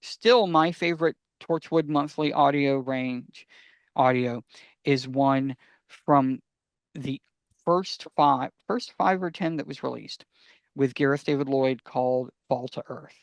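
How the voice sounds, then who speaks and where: A man hosting a casual fan podcast, talking enthusiastically and sometimes haltingly as he gives recommendations. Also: medium pitch, no room sound. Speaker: podcast host.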